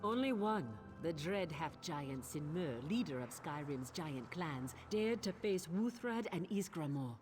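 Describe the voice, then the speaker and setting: An actress playing a proud, earnest Nord storyteller in a fantasy game, speaking in a solemn, declamatory way. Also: female voice, low voice, lively intonation, unhurried, few pauses. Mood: epic, solemn. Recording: game music loud behind the voice.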